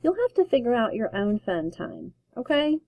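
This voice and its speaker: A woman voicing a mother who talks gently and encouragingly. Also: fairly high pitch, big pitch swings, moderate pace, dry recording. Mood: reassuring. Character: calm, reassuring mother.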